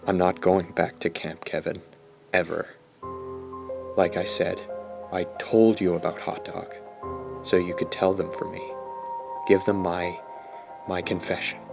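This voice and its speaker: A man playing a sardonic, guilt-ridden teenage boy in a radio drama, brooding and halting as he confesses. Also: sits low, little pitch movement, creaky, music in the background. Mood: resigned, remorseful. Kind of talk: radio drama acting with first-person narration.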